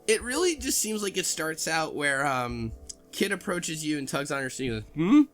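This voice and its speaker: A man's voice: on the high side, very animated, moderate pace, a bit bright, faint music behind. A man co-hosting a podcast in casual chat, rambling and unsure as he goes. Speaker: podcast co-host.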